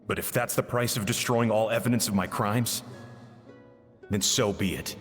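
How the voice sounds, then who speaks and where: An actor voicing a grieving man in a game cutscene, who speaks in a solemn, measured and regretful way. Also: fairly low pitch, lightly acted. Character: grieving man recounting a friend's tragic downfall. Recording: long reverb with music underneath.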